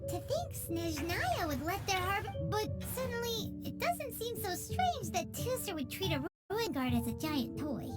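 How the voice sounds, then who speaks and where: A woman voicing a tiny, chatty, excitable fairy-like mascot guide in a video game, with a squeaky childlike voice. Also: unhurried, hardly any pauses, full character voice. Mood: skeptical. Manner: talks about self in third person. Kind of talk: animated video game dialogue. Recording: game music under the voice.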